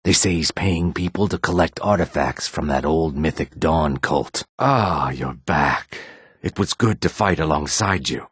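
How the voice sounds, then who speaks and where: Very deep lizardfolk assassin. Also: male voice, measured pace, slightly rough, no room sound, clean studio sound, full character voice. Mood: calm, courteous. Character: calm, menacing male lizardfolk assassin, proud and courteous. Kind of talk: video game character dialogue.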